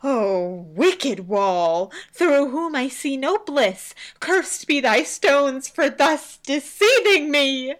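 A woman's voice: high-pitched, animated, slow pace, hardly any pauses. A woman playing a bombastic amateur tragedian as a lovesick young hero, wailing in overwrought declamation in a Shakespeare radio comedy. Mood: gushing, indignant.

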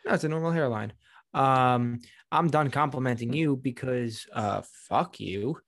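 A young adult man co-hosting a podcast, playful and expressive, swearing casually in banter. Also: mid-range voice, a little slow. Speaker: podcast co-host and actor.

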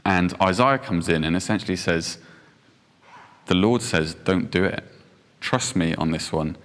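A young man preaches in a relaxed, self-deprecating way, his speech full of ums and asides. His voice sits very low. It rings out in a big reverberant hall. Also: measured pace. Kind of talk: informal sermon with storytelling.

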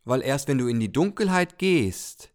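Man doing God, calm, patient, kindly, gently explaining, storybook podcast. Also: medium pitch, moderate pace, pitched above the performer's own voice, lightly acted.

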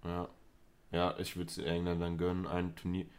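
A man's voice: deep voice, monotone, moderate pace, a little mellow, smooth. A man co-hosting a podcast, chatting casually with a wry, joking manner. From Southern Europe. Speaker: podcast co-host. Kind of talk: casual chat.

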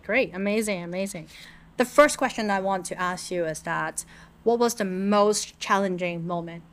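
A woman hosting a podcast, upbeat and encouraging, moving from a scripted intro into casual interview questions. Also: mid-range voice. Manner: upbeat, encouraging, many backchannels. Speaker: podcast host.

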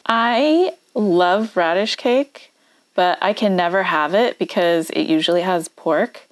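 A woman's voice: on the low side, slow, no echo. A woman co-hosting a podcast, asking short friendly questions in a casual interview. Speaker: podcast co-host.

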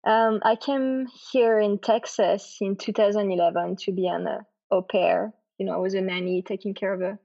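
A woman in her thirties from France with a French accent, answering interview questions in a relaxed way with many fillers. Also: mid-range voice, normal speed, vocal fry, few pauses, highs cut off. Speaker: guest author.

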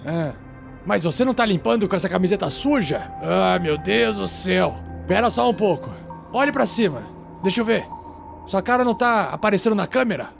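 Tabletop game role: bossy germaphobe recluse, high-pitched, fussy, wildly animated, suspicious. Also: male voice, creaky, full character voice. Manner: scolding, dramatic exclamations, drawn-out orders. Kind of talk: improvised tabletop roleplay acting. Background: background music.

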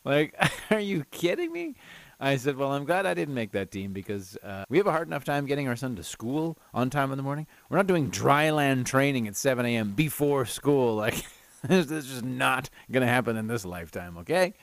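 A middle-aged man hosts a podcast on his own. He has a mid-pitched voice and an animated, chatty delivery, as if amused by his own anecdotes and rants. The sound is close and dry, with very little room. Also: fairly quick, vocal fry, no room sound. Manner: animated, self-amused, chatty, laughs through stories. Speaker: podcast host. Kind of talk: casual solo storytelling and ranting.

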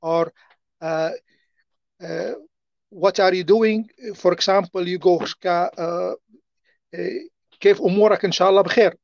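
A man with an Arabic accent answering interview questions in a halting way, full of fillers and restarts. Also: sits high, fairly even intonation, creaky, a little mellow, dry recording, muffled recording. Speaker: interview guest.

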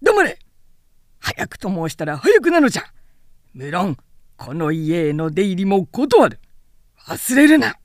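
A woman's voice: mid-range voice, big pitch swings, unhurried, long pauses, no room sound, studio quality. A woman voicing an angry, imperious old samurai father who barks archaic commands in a story reading. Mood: furious, imperious. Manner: barking, commanding, archaic speech.

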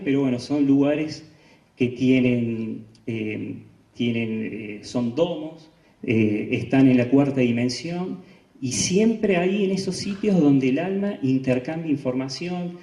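A man with an Argentine accent giving an informal spiritual lecture over slides, halting often and restarting with fillers. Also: mid-range voice, dark tone. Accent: Argentine Spanish. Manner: halting, full of pauses and "eh, bueno" restarts. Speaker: spiritual teacher presenting slides. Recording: roomy, distant, dull lo-fi sound.

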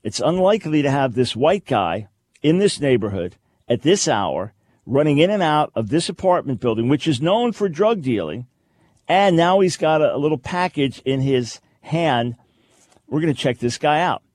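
A man's voice: on the high side, expressive, moderate pace, darkish tone, no echo. An American man talking off the cuff as a radio host, conversational, posing rhetorical questions and acting out examples. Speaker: radio talk show host. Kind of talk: unscripted talk radio commentary.

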